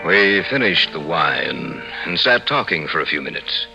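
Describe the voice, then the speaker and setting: An actor playing a vengeful nobleman who narrates his crime with deliberate, mock-solicitous menace in 1950s radio horror style. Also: deep voice, sing-song, creaky, pushed and bright, heavily acted. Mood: ominous. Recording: echoey old radio drama, music behind.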